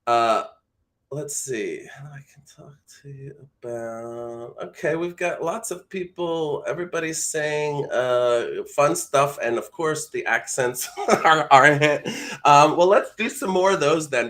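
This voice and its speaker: A man's voice: medium pitch, fairly bright tone. Man coaching in a conversational, encouraging way. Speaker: voice acting coach and livestream host. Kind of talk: casual live teaching with read-aloud demonstrations.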